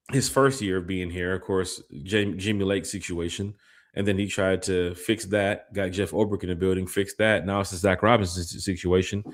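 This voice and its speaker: A man co-hosting a casual sports podcast, talking in a laid-back, joking drawl. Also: low-pitched. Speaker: podcast co-host.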